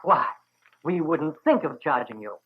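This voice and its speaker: Man voicing a kindly farm wife, high-pitched. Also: lively intonation, measured pace, husky. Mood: welcoming. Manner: warm, homely, gently hesitant. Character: kindly, hospitable New England farm wife. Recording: dull 1940s radio recording.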